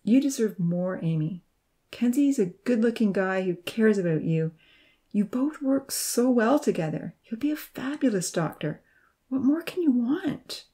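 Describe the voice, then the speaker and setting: A woman voicing a troubled, scarred young man in a story, speaking haltingly with a wavering voice. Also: fairly high pitch, animated, mellow, pitched above the performer's own voice, close to an everyday voice. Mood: insistent. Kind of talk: storybook character reading.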